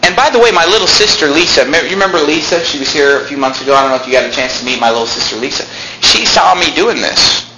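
A man's voice: fairly high pitch, lively intonation, fast. A man in his twenties tells a personal story to a congregation in a casual, chatty way, repeating phrases and turning to the audience with asides. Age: 20s. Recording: roomy echo, faint noise.

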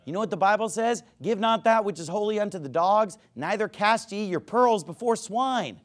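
Man, pastor, high voice, emphatic, repetitive, sermon in church. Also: expressive, normal speed. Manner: emphatic, repetitive, mocking preaching.

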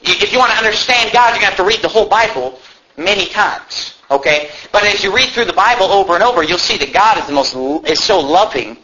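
American guy preaching a sermon, sharp intense voice, kinda muffled lofi audio w a bit of noise, very emphatic. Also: high-pitched, lively intonation, fast talker. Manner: emphatic, preachy, with rhetorical questions and repetition for emphasis. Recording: muffled lo-fi with faint background noise.

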